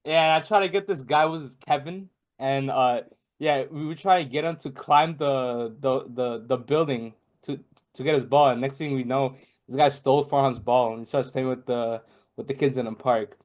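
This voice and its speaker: A man's voice: mid-range voice, normal speed. A young man from Bangladesh, a podcast guest in casual chat, rambling with many fillers and restarts. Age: young adult.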